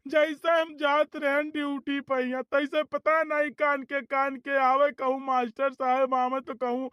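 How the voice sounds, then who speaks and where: Cartoon role: a high, monotone, slow-talking grown son, forever whining and tearful, as a performer puts him on. Dull lo-fi sound. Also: no echo. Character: weepy grown son, complaining about his wife.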